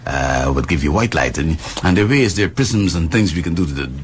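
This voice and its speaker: A man hosts a radio talk show in a low voice with a very lively, swinging pitch. He talks in a playful, animated way, like he is teaching. A faint hiss sits under the recording. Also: fairly quick. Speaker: radio show host and doctor.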